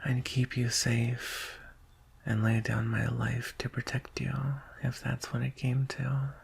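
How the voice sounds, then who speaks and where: A man playing a devoted, solemn male dragon guard in an intimate ASMR roleplay, speaking in a hushed, wistful, husky voice that often drops to a whisper. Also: mid-range voice, completely flat delivery, full character voice. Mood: tender, wistful. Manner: hushed, deliberate, with long pauses.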